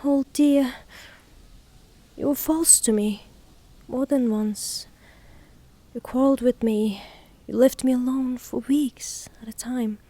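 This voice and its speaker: An actress playing a proud, melodramatic grieving widow in a theatrical audio drama, speaking in a formal, haughty way. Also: female voice, high voice, fairly even intonation, takes it slowly, pauses often, warm tone, no echo. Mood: reproachful, mournful.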